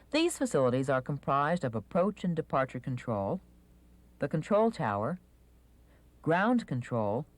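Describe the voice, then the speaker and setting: A narrator reading a scripted instructional video in a measured, clearly enunciated manner. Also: mid-range voice, no echo.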